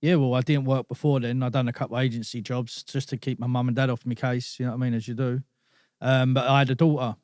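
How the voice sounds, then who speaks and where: A man's voice: medium pitch, little pitch movement, quick pace, darkish tone, dry recording, clean studio sound. A man, a podcast guest telling stories in casual chat, laid-back and dropping "you know what I mean" often. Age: 30s.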